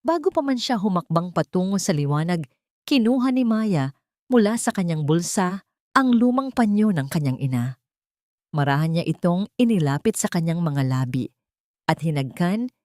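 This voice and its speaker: A woman narrating a scripted dramatic story in a measured, solemn manner. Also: on the low side, a little mellow, no echo, studio quality.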